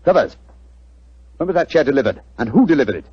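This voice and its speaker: An actor playing a brisk, shrewd English gentleman detective, assured and authoritative, in the style of 1940s radio drama. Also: medium pitch, sing-song, no room sound. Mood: excited, commanding. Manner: crisp, authoritative, deductive. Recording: dull 1940s radio recording.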